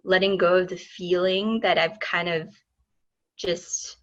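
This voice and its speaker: A woman's voice: fairly low pitch, fairly even intonation, takes it slowly. A woman speaking thoughtfully and a little hesitantly as an artist on a discussion panel. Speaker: artist and exhibit lead on a panel. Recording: dull, muffled audio.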